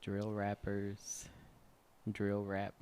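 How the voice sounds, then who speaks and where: A man's voice: fairly low pitch, completely flat delivery, very slow, a little mellow, clear, resonant tone. A man in his forties co-hosting a podcast, chatting casually and expressively, laughing often. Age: 40s. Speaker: podcast co-host. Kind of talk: casual chat.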